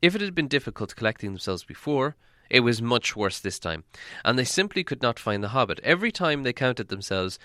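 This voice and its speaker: A man narrating a storybook from a script in a dramatic, expressive storytelling manner. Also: mid-range voice, a little fast, dry recording, clean studio sound. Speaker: audiobook narrator.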